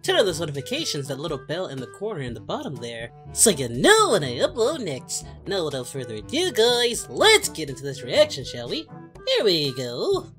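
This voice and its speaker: A woman voicing an excitable cartoon hero hosting a reaction video, with animated, exclamatory casual commentary. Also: low-pitched, normal speed, faint music behind, heavily acted. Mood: upbeat. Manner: animated, exclamatory, repeats phrases.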